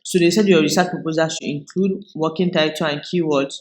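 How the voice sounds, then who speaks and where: A man from Nigeria with a Nigerian English accent, hosting a tutorial video and explaining each step in a relaxed way, with frequent restarts. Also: a little high, a little fast. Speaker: video host. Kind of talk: screen-recorded tutorial walkthrough.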